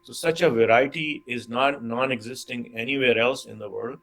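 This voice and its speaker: A man from Pakistan speaking as a panelist in a measured way with frequent hesitations. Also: medium pitch, vocal fry, a bit bright, poor audio. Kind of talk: panel discussion.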